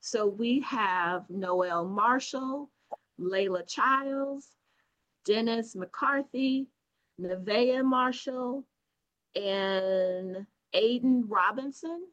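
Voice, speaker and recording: Slow-speaking woman radio host. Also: a little high, fairly bright tone, highs cut off. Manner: slow, deliberate introductions. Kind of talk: radio talk show hosting.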